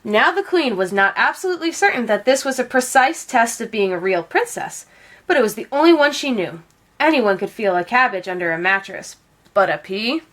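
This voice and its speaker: A woman reading a children's storybook aloud as an expressive narrator. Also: fairly high pitch, fairly quick. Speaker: storybook reader.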